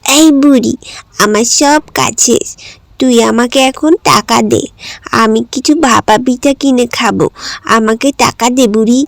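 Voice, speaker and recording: A woman's voice: fairly high pitch, a little slow, vocal fry, dry recording. A woman voicing a poor, eager little village girl in a cartoon, with a wistful, pleading, childlike manner. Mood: eager, demanding.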